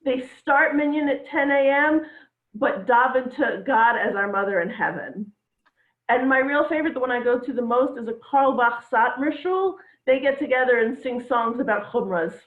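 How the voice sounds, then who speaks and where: A woman doing a stand-up set from home, with a dry, deadpan delivery. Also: sits high, normal speed. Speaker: stand-up comedian. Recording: tinny echoey phone-like line.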